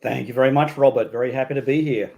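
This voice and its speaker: A man's voice: mid-range voice, fairly even intonation, fast talker, creaky, darkish tone, lo-fi sound. A middle-aged man with an Australian accent, answering interview questions as an expert guest in a thoughtful, explanatory way.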